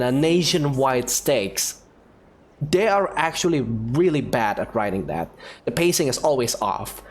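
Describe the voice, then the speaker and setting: A man gives casual commentary as host of a gaming video. His delivery is chatty and informal, with pitch that moves around a great deal, over soft background music.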